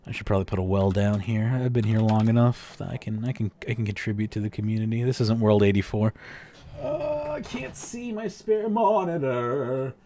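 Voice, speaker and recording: A man's voice: medium pitch, normal speed. A man hosting a casual podcast, reading out game statistics with sarcastic asides, his voice a little worn from losing it. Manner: animated, sarcastic asides, trails off with fillers. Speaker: podcast host.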